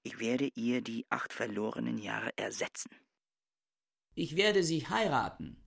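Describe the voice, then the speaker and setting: A brooding, pedantic scholar. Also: male voice, medium pitch, lively intonation, a little slow, vocal fry, fairly bright tone, husky, no echo, clean studio sound, heavily acted. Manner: stiff, formal, brooding inner monologue. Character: pedantic, reclusive male scholar, about forty. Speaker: actor playing Peter Kien. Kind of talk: radio play acting.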